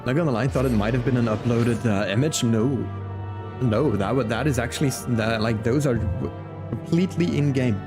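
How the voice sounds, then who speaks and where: A man's voice: medium pitch, moderate pace, music in the background. A man chatting casually and playfully with viewers as he plays and comments on a game on a live stream.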